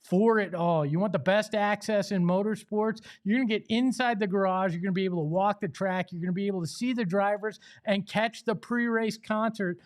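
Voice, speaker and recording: A man's voice: high-pitched, fairly quick, hardly any pauses. A man with an American accent hosting a sports show, speaking in an emphatic, conversational way. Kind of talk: opinionated sports talk and host-read ads.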